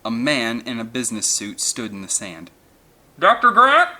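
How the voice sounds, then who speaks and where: A man's voice: on the low side, normal speed, a bit bright. A man reading an adventure novel aloud as dramatic scripted narration. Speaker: audiobook narrator.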